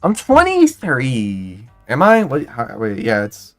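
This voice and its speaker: A young man in his twenties chats on his stream with a lively, bouncing pitch, rambling and restarting his sentences often. He gets excitable in bursts, and soft music plays faintly behind him. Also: slow pace. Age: 20s. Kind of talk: casual stream commentary.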